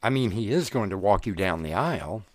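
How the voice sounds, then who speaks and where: A man's voice: lowish voice, expressive, fairly quick. An actor playing an earnest young man, pleading with his fiancée and growing exasperated. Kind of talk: audio drama acting.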